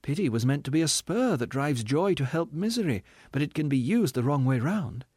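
Gentle pleading woman, soft and raised in pitch, as a male reader puts her on, dry sound. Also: animated, a little fast, breathy edge, dry recording. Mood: earnest. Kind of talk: audiobook character reading.

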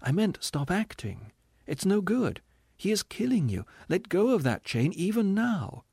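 A man voicing a gentle, radiant woman who speaks in a soft, earnest, pleading way. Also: high voice, big pitch swings, a little slow, creaky, slightly rough, no echo, studio quality, pitched above the performer's own voice, exaggerated voice. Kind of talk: audiobook character reading.

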